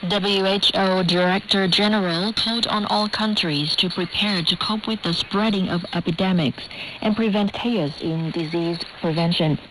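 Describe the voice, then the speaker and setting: A woman reading a formal news bulletin in a crisp, scripted way, heard through a shortwave radio broadcast with static. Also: medium pitch, fairly even intonation, moderate pace, a bit bright, poor audio. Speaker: newsreader.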